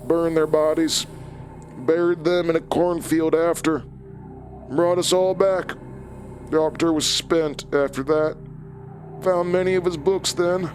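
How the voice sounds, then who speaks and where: A man's voice: fairly high pitch, a little mellow, natural voice. A man playing a remorseless killer in an audio drama, talking in clipped, callous, matter-of-fact sentences. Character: cold, remorseless killer, an old enemy recounting murders.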